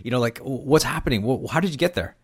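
A man's voice: medium pitch, lively intonation, quick pace, slightly rough. A man hosting a podcast in a casual interview, friendly and enthusiastic as he asks his guest questions. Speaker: podcast host.

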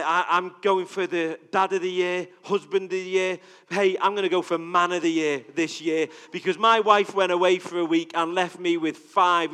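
A high-pitched, bright-voiced middle-aged man with a Liverpool accent speaks to a gathered congregation. His sermon is conversational, full of questions thrown out to the room. The sound carries a long hall reverb. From England. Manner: chatty, asks the crowd questions. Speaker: preacher. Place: church hall with audience.

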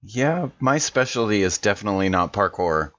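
A man's voice: fairly low pitch, lively intonation, moderate pace, fairly bright tone. A man voicing a laid-back, wry young man in an improvised tabletop game, on slightly muffled audio. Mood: wry, casual.

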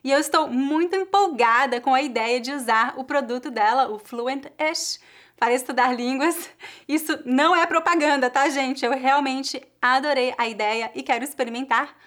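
A woman host who teaches languages, speaking in a fairly high voice. She sounds friendly and encouraging, often checking in with the listener as she talks to her guest and to her viewers. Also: normal speed, a bit bright. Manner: friendly and encouraging, with frequent "né?" tags. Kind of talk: interviewing and closing a video.

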